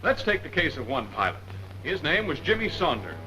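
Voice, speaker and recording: A man's voice: a little high, sing-song, fairly quick, bright, forceful tone, faint music behind. A man narrating a 1940s military training film in an emphatic, didactic, rhetorical manner. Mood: instructive. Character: brisk male wartime training film narrator. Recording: noisy 1940s film soundtrack.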